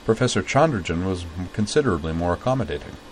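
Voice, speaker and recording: A man's voice: low voice, expressive, fast talker, a little hiss or hum. A man reading a storybook, voicing a composed young woman who speaks dryly and formally. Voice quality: dry, precise, formal diction.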